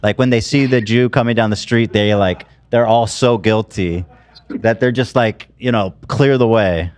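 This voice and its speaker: A man's voice: on the low side, moderate pace, a little mellow. A man in his late thirties hosting a podcast, asking teasing, wry questions in a casual interview. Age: 30s. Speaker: podcast host.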